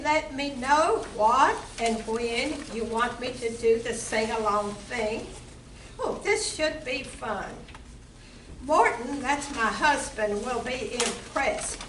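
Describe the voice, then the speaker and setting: A woman playing a flirty, breezy barmaid and singer in an amateur comedy play, delivered deliberately. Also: a little high. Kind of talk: amateur stage comedy radio play acting. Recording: echoey room from far off, background noise.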